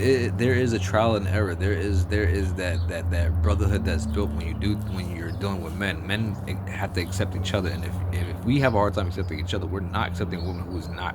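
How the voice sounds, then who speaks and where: A man with a deep bass voice giving a blunt, profane, rambling opinion monologue with many restarts. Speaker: vlogger. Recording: outdoor street noise with passing vehicles.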